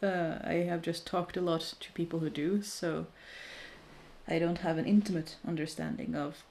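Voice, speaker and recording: Thirties woman, relaxed live-chat host, lowish pitch, candid and self-deprecating, lots of restarts. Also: moderate pace. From Norway. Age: 30s. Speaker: crafting streamer chatting with viewers.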